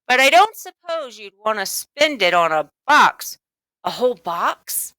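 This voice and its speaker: A woman's voice: a little high, sing-song, unhurried, bright, forceful tone, no room sound, pitched above the performer's own voice, full character voice. A woman voicing a hearty, coaxing male candy storekeeper for a children's storybook, with an emphatic, theatrical salesman's delivery. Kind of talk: children's storybook read-aloud.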